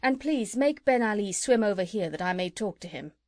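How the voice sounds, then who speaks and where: A woman voicing a gentle, polite male animal doctor, speaking softly and courteously in a storybook. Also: mid-range voice, expressive, a little fast, no room sound, studio quality, natural voice. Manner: soft-spoken, courteous.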